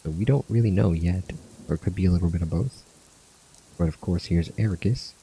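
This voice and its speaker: A man with a low, creaky voice gives relaxed commentary on a game story. His tone is mellow and dark, and music plays softly behind him. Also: brisk, slight background noise, rough recording. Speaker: video creator reading game cutscenes aloud. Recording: music under the voice.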